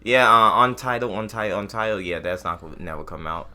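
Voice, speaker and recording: A man's voice: lowish voice, fairly quick, sharp tone. A man co-hosting a podcast, bantering in casual slang with blunt, opinionated interjections. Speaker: podcast co-host.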